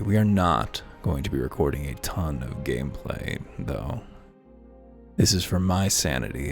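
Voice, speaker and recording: A man's voice: very deep voice, creaky. A man hosting a let's play, giving wry, offhand commentary and reading game text aloud. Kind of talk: casual gameplay commentary. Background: faint game music.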